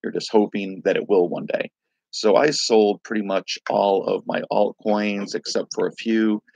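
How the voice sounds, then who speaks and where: A man with a raspy voice from a night of singing, hosting a casual crypto livestream, rambling loosely and greeting viewers by name. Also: fairly low pitch, steady tone, moderate pace, a little mellow, no echo, low-quality recording. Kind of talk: casual live-stream chat.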